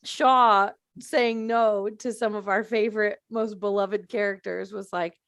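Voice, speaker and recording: A woman's voice: mid-range voice, steady tone, a little slow, a bit bright, clean tone, no echo, clean studio sound. A woman chatting casually and playfully as a panelist on a discussion podcast, giggly in her storytelling. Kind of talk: casual chat.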